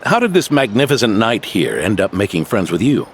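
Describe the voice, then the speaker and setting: An actor playing a wry, probing old veteran knight captain in a game. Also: male voice, on the low side, sing-song, brisk, a little hiss or hum. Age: older.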